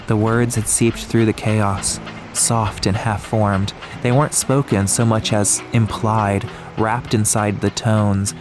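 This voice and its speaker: A man reads an atmospheric story as an audiobook narrator. His voice is low. Music plays clearly behind the scripted narration.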